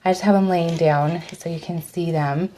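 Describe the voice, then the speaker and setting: A woman with a cold and a slightly raspy voice, chatting through a live step-by-step craft demo, her voice dropping off as she leans away from the mic to work. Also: lowish voice, steady tone, normal speed, dark tone, poor audio. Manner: chatty, step-by-step instructions with fillers. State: hoarse from a cold. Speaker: craft host.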